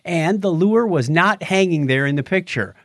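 Wry man with lively, animated pitch. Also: moderate pace, dry recording, studio quality. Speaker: podcast host. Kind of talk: casual podcast chat.

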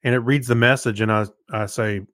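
A man's voice: medium pitch, fairly even intonation, a little fast, fairly bright tone, no echo, studio quality. A man co-hosting a podcast in casual conversation, sharing everyday anecdotes. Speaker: podcast co-host. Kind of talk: casual chat, personal anecdotes.

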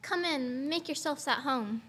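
A woman's voice: sits high, measured pace, a bit bright. A young girl playing a polite, secretly sinister mansion hostess in an amateur radio play. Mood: welcoming. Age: child or teenager.

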